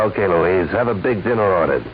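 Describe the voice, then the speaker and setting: Tinny 1940s radio sound carries a smooth, menacing gunman. His voice is on the low side, with animated swings in pitch. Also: brisk. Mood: suave. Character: smooth, menacing male gunman in crime drama. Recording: tinny, faintly noisy 1940s radio recording.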